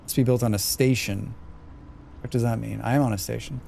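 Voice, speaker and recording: A man's voice: medium pitch, steady tone, brisk, gravelly, a little mellow. Scratchy-voiced man thinking aloud. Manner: thinking aloud, muttering while playing. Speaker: streamer playing a demo. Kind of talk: live gameplay commentary.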